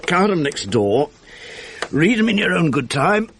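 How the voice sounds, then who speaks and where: An English actor playing a jaded, dissipated middle-aged script editor and exasperated husband in a radio drama, world-weary, sardonic and blunt, with a gravelly, husky voice. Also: male voice, fairly high pitch, very animated, measured pace, a bit bright, slight background noise. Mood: wry, hungover. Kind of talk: 1980s BBC radio drama acting.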